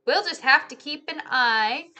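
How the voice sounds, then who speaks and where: A calm storybook family doctor, a musing man, as read by a woman. The voice is bright and a little high, with a measured, reassuring manner.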